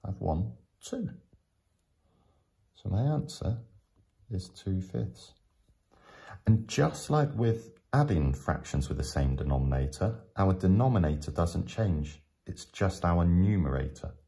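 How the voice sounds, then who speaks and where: Male tutor for step-by-step lesson narration: very low pitch, gravelly creak, patient explanatory delivery. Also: big pitch swings, warm tone. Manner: patient, explanatory, with frequent pauses. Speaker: teacher.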